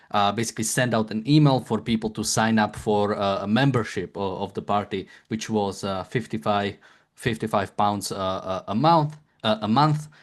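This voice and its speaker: Low-voiced joking male co-host. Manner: joking, halting, many fillers and restarts. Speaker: podcast co-host. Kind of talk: casual political commentary.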